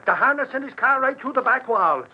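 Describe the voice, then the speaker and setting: Excitable, high-pitched blustering newspaperman. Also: a little fast. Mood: astonished. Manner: blustery exclamations and slang. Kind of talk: 1940s radio drama acting. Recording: noisy, muffled 1940s radio recording.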